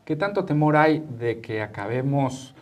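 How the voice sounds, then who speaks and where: Mexican male interviewer, conversational, halting phrasing, medium pitch. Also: lively intonation. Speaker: interviewer, talk show host.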